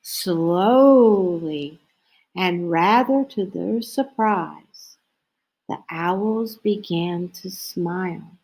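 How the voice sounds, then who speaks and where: A woman reading a children's story aloud in an expressive, storytelling manner with drawn-out phrases. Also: fairly low pitch, low-quality recording. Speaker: storybook reader.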